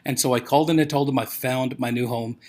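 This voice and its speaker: A man's voice: mid-range voice, steady tone, fast, a little mellow. An American man presenting in a casual motivational sales talk, with a conversational, rambling storytelling manner. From United States. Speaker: presenter of a company overview.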